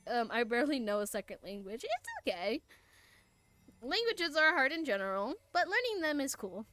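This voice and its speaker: A woman streamer whose first language is Spanish reads game text aloud and chats between lines. Her voice sits slightly high, and she trips over words and restarts often. Soft game music plays underneath. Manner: halting, many restarts and fillers, animated asides.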